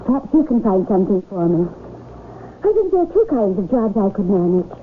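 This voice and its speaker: A woman acting a supportive, practical young wife in an old-time radio drama, gentle and encouraging, on a noisy recording. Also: mid-range voice, very animated, fairly quick, phone-quality sound, close to an everyday voice. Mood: eager.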